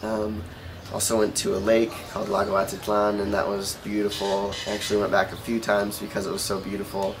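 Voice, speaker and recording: A young man with an American accent speaks casually, as in a relaxed interview. His voice sits fairly low. He is recorded on an open-air terrace, with clearly audible background noise. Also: brisk, few pauses. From Oregon, United States. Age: young adult. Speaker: volunteer being interviewed. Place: outdoor rooftop terrace.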